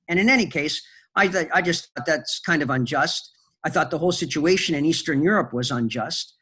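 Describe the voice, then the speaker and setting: A man with a fairly high voice for a man, answering interview questions. He talks in halting runs full of fillers and restarts as he recalls events. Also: fairly bright tone. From Canada. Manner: hesitant, many fillers and restarts. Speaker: interview guest, film producer. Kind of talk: conversational interview storytelling.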